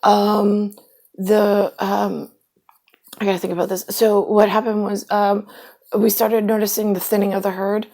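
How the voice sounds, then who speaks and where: A woman's voice: mid-range voice, level tone, unhurried, dark tone. A woman in a rambling monologue, quoting her own conversations with sudden bursts.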